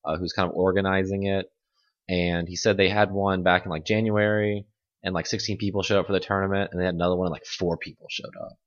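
A man with a very low voice chats casually as a podcast co-host. He leans on fillers and keeps a loose, conversational flow. The recording sounds dull and lo-fi. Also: flat delivery, quick pace. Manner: chatty, filler-heavy, laughs often. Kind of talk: casual chat. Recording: dull lo-fi audio.

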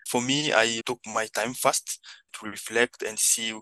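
A man's voice: medium pitch, steady tone, unhurried, sharp tone, no room sound, poor audio. A man with a Congolese accent speaking earnest, non-native English as he gives reflective answers as a podcast guest. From Democratic Republic of Congo. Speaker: podcast guest, social entrepreneur.

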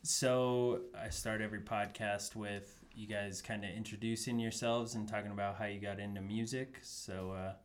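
A man hosting a podcast, chatting casually with frequent fillers in a relaxed way. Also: on the low side, normal speed, hardly any pauses, slight background noise. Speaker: podcast host. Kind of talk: casual interview chat.

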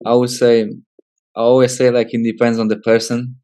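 A Polish man in his twenties with a Polish accent, giving casual interview answers as a footballer in hesitant, halting English. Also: medium pitch, flat delivery, warm tone. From Poland. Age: 20s. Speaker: professional footballer, interview guest.

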